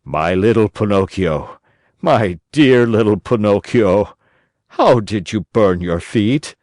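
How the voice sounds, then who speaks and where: A stern old storybook father, as a male narrator puts him on, with slow, weighty, deliberate words. The voice sits close to the mic in a dry space. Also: on the low side, huge pitch swings, dry recording, exaggerated voice. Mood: tender. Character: old father, gruff then tender.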